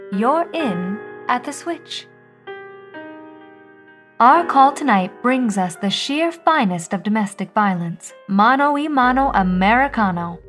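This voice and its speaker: A woman playing a deliberate, theatrical 1950s telephone switchboard operator who hosts with arch, florid showmanship. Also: mid-range voice, close to an everyday voice. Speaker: host, switchboard operator narrator. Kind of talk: theatrical scripted narration, anthology radio drama.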